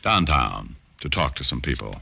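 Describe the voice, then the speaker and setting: An actor playing a wry, easygoing private detective in old radio drama, with a laconic, teasing manner. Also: male voice, a bass voice, very animated, moderate pace, vocal fry, pushed and bright, slightly rough, heavily acted. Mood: cool, firm. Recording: old-time radio broadcast with music.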